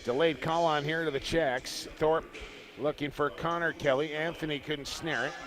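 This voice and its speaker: A man calling lacrosse play-by-play in rapid, jokey bursts. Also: a little high, fairly even intonation, a crowd in the background. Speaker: play-by-play commentator. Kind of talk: sports commentary.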